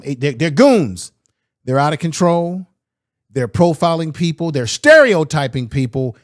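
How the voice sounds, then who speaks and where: A man reacts to clips with blunt, fiery commentary and plenty of slang. His voice sits slightly high, and the sound is dry and close with no room echo. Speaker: political commentary host.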